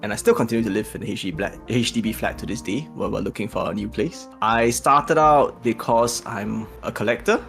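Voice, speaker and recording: A man with a Singaporean accent speaks on a voice call in a fairly low voice, hesitant and self-deprecating with plenty of fillers. Faint music plays underneath the call. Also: a little fast, vocal fry, hardly any pauses, fairly bright tone. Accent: Singaporean English. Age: adult, married. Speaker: guest. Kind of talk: casual call interview. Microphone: video call.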